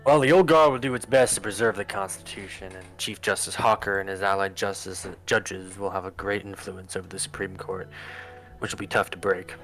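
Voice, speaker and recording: A man voicing a formal government adviser who briefs the president in a measured, expository way in a political game. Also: deep voice, fairly even intonation, a bit bright. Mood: formal briefing. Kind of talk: scripted political game voice-over. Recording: music under the voice. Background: background music.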